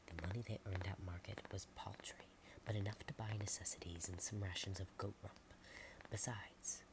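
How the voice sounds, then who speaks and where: A woman reading a storybook in a soft whisper, adding chatty asides and sometimes stumbling over words. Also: very deep voice, steady tone, moderate pace, background noise.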